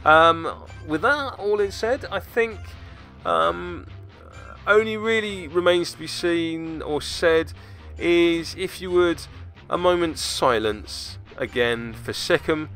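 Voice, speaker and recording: A man's voice: fairly high pitch, slow pace, a bit bright, soft background music. A British man hosting a tabletop game recap, talking casually in a wry, self-deprecating, rambling way. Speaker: host of a tabletop game battle report channel. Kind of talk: casual scripted-free game recap commentary.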